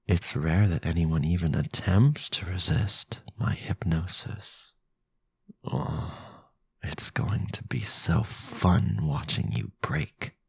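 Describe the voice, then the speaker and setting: A man playing a powerful, sinister vampire lord in an ASMR roleplay, possessive, silky and condescending, with a low, gravelly, breathy voice. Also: a little mellow, exaggerated voice. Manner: slow, menacing, silky, condescending. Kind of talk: ASMR boyfriend roleplay acting.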